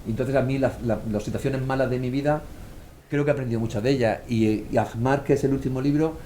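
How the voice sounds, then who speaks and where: A Murcian man speaks fast in a mellow, darker voice, telling stories and slipping into the voices of the people he quotes. A faint room hum sits behind him. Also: mid-range voice, low-quality recording. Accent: Murcian Spanish. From Murcia, Spain. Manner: animated storyteller, acts out quoted voices. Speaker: author guest. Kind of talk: casual conversational talk.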